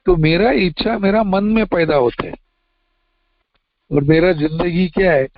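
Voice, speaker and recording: A man's voice: high-pitched, unhurried, no room sound, muffled recording. A man with a Mumbai Hindi accent sharing his recovery story at a meeting, talking plainly and slipping English phrases in. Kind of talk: personal testimony share.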